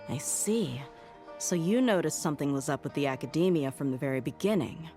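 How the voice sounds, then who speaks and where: A woman's voice: low voice, animated, brisk, music in the background. A woman playing a confident, wary mercenary tracker in a video game, speaking in an assured, matter-of-fact way. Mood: brisk.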